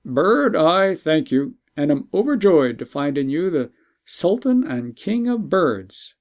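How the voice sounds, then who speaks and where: A dignified, fatherly emperor, as put on by a narrator speaks in a stately storybook manner. The phrasing is formal and emphatic, moving freely in pitch with a creaky edge. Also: very animated, takes it slowly. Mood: pleased, gracious. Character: dignified Persian emperor, gracious, credulous, fatherly.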